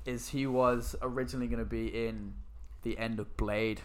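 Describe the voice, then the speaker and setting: A man's voice: medium pitch, steady tone, normal speed. A man with a stuffy nose from a cold, co-hosting a casual podcast and bluntly complaining about a film. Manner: blunt, sweary, grumbling. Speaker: podcast co-host. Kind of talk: casual movie review chat.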